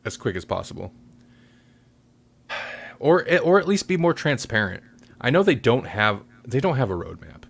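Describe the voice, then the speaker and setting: A man's voice: mid-range voice, big pitch swings, normal speed, gravelly, pauses often, slightly rough. A man podcast host in casual, rambling chat, often correcting himself.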